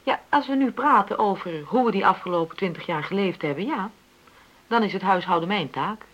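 A woman's voice: mid-range voice, lively intonation, fairly quick, fairly bright tone, dull audio. An actress playing a frustrated middle-aged wife in a radio play, insistently arguing for equality in her marriage. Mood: argumentative. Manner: argumentative, insistent, reasoning. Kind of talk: naturalistic Dutch radio play dialogue.

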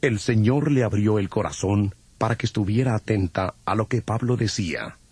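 A man's voice: fairly low pitch, normal speed, darkish tone, breathy edge, no echo. A man narrating a dramatized Bible from a script. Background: music.

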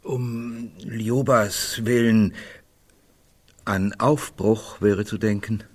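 An actor playing a hesitant, wry brother in a German radio drama, halting and husky. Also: male voice, fairly low pitch, little pitch movement, full character voice.

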